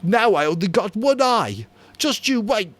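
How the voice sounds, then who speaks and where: A man voicing a whiny, fearful chubby schoolboy with glasses, shrill and pleading, in a dramatic audiobook reading. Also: big pitch swings, measured pace, full character voice. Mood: resentful.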